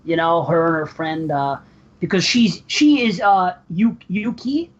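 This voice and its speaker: A man's voice: a little high, unhurried. Rambling man on a dull line. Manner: rambling, many fillers and restarts. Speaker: podcast co-host. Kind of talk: casual chat. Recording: slightly dull, faint noise.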